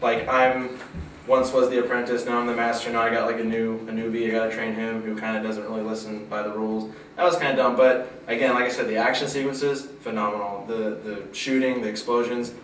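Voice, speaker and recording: A young man hosting a casual movie review, loose and chatty, often trailing off low. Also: flat delivery, fairly quick, fairly bright tone. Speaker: host of a movie review show. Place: small indoor studio with a poster backdrop. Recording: echoey room, mic far off, faint hiss.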